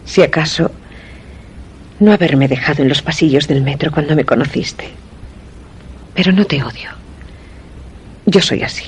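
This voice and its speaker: An actress with a Castilian Spanish accent playing a cool, enigmatic wife in a suspense radio drama, curt and controlled. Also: female voice, sits low, normal speed, long pauses, a little hiss or hum, natural voice. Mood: cool, evasive. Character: cool, secretive, enigmatic wife of an ailing man.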